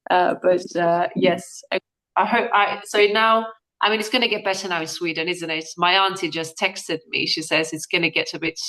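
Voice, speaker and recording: A woman leading an online workshop over a video call, halting and full of fillers as she talks. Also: on the low side, fairly even intonation, pushed and bright, poor audio. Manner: halting, with frequent fillers and restarts. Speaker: workshop speaker.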